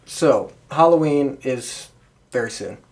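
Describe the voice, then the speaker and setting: A woman's voice: very deep voice, lively intonation, takes it slowly, darkish tone. A woman co-hosting a podcast in a casual, conversational chat about a shared hobby. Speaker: wrestling podcast host.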